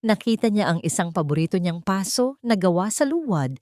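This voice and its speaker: A woman narrating a scripted dramatic love story in a measured, emotive way. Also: medium pitch, very animated, darkish tone, no room sound.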